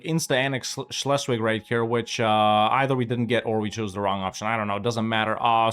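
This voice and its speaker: A young man giving casual gameplay commentary, relaxed and chatty, thinking aloud with many fillers. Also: medium pitch. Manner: lively and upbeat when asking viewers to like and subscribe. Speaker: gaming YouTuber.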